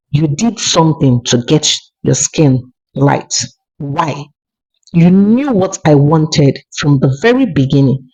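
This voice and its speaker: A woman's voice: low-pitched, a little mellow, exaggerated voice. A woman with a Nigerian accent voicing a proud, aggrieved young husband in a folktale, reproachful and insistent. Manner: reproachful, insistent, repeating questions. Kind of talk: dramatic folktale storytelling. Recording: dull, lo-fi voice recording.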